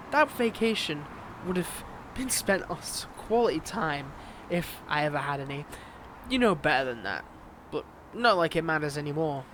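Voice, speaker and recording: A man's voice: high-pitched, fairly bright tone, slight background noise, heavily acted. A man acting as a flirtatious, mocking villain and bitter scorned ex in a dramatic audio roleplay, drawing out his teasing words. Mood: bitter, scornful. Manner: teasing, mocking, drawn-out delivery with pet names.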